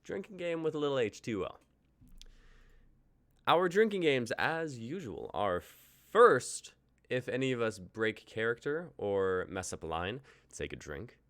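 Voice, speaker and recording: A man's voice: medium pitch, sing-song, measured pace, a bit bright. A man in his twenties hosting a casual livestream chat, upbeat and jokey as he runs the show. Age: 20s. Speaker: host.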